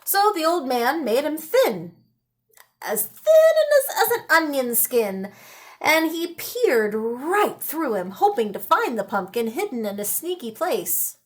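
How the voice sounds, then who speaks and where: A woman reading a children's story aloud in a dramatic, expressive style with deliberate pauses. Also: high voice. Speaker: storybook reader. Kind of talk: animated children's read-aloud.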